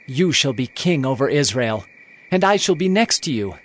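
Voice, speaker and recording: An actor playing a loyal king's son in a dramatized scripture reading, speaking with steady reassurance. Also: mid-range voice, moderate pace. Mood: reassuring. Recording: faint night-insect ambience behind.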